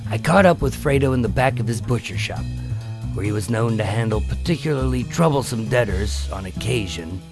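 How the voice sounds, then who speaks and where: An actor playing a wary, wry undercover FBI agent in a noir-like radio drama. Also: male voice, fairly low pitch, vocal fry. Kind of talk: noir-like scripted narration and radio drama acting.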